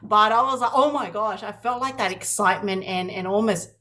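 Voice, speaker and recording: A woman's voice: mid-range voice, a little fast, pushed and bright. A woman hosting an interview podcast as a counsellor, talking in an effusive, rambling way full of restarts. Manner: effusive, many restarts and fillers. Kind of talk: casual interview.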